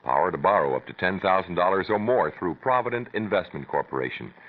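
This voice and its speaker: A man reads a radio commercial with a persuasive pitch. His voice rises and falls in a lively way. The sound is tinny and phone-like, from an old broadcast. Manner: persuasive sales pitch, slowing down for numbers. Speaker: commercial announcer. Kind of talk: radio advertisement.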